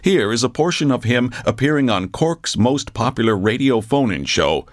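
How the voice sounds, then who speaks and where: A man playing a solemn, grandiose documentary narrator, delivering scripted mock-documentary narration in a pompous, deadpan way. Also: medium pitch, normal speed, no echo, studio quality, natural voice.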